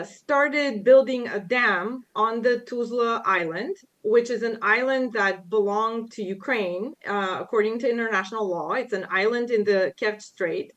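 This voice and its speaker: A woman guest lecturer fields questions from the audience in a library event room. She speaks deliberately and unhurriedly, pausing often and filling the gaps with ums, in a voice pitched somewhat high. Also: a bit bright. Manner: measured, with frequent pauses and fillers. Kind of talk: public lecture Q&A.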